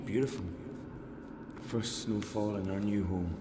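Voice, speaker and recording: Gentle father in a roomy space a man acting. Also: fairly low pitch, fairly even intonation, unhurried, noisy background, natural voice. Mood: warm, delighted. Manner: gentle, reassuring. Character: tender, earnest young father, protective handyman of magic. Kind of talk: video game cutscene acting.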